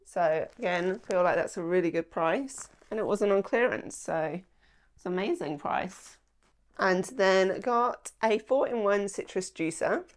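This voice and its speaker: A woman's voice: mid-range voice, measured pace. A woman, chatting casually to camera about her shopping, a little nasal from a starting cold, rambling with lots of "like" and "um". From England. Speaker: lifestyle vlogger. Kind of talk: casual haul chat to camera. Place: small indoor room at home.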